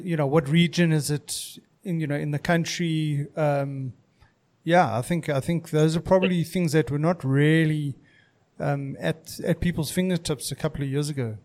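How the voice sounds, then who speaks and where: A man joining a casual chat about music, speaking in a measured, thoughtful way. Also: on the high side, darkish tone. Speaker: podcast guest musician.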